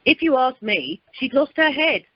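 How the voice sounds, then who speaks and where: A wry, quick-witted female rep, acted by a woman in an amateur radio comedy. Her voice sits fairly high, with a close, dull telephone-conference sound. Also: lively intonation, slightly rough, no echo. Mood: wry, gossipy.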